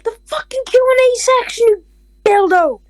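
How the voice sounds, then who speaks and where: A woman's voice: very high-pitched, moderate pace, darkish tone, no room sound, studio quality, soft background music. A woman hosting a comedy podcast, blunt and crude, firing off abrupt questions and insults. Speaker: podcast host. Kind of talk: chaotic comedy chat.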